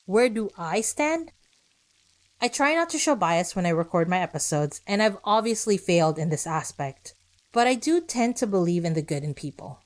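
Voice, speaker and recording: A woman podcast host reading scripted narration with personal asides, speaking conversationally and directly to her listeners. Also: medium pitch.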